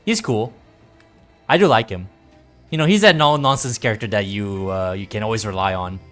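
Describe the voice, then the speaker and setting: A man's voice: on the low side, normal speed, a bit bright. A man livestreaming a video game, chatting casually and easygoing with viewers as he plays. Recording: music playing behind the voice. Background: game music.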